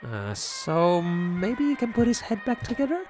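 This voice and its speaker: A performer playing a wry, sardonic cat undertaker and bootlegger in an audio drama, drawling out dark jokes. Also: medium pitch, wildly swooping pitch, measured pace, over music. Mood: wry amusement. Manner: wry, drawling, darkly joking.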